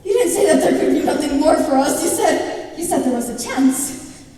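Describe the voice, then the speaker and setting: Spurned young lover, played by a young woman, insistent and desperate, high but soft-toned, in a very echoey hall. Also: animated, normal speed. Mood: insistent, hurt. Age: young adult. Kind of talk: school stage play acting. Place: indoor theatre stage. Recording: echoey theatre stage, distant mic.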